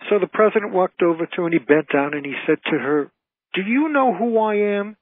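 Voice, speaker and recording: A man's voice: high voice, expressive, fairly quick, breathy edge, dry recording. An older man from the United States, an entertainer and author, talking conversationally as a radio interview guest. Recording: tinny, dry phone line.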